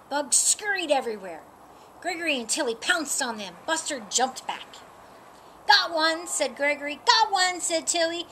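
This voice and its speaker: A woman's voice: high-pitched, a little hiss or hum, low-quality recording. A middle-aged woman reading a children's picture book aloud outdoors, raising her voice for the animal characters. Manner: slow, lively, lifts her voice for animal lines. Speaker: storyteller. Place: outdoors in a sunny yard. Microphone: camera a few feet away.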